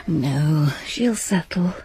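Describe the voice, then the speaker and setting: An actress playing a distraught, fearful wife who speaks in breathless whispers. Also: female voice, low voice, animated, extremely slow, noisy background, heavily acted. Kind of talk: radio drama acting.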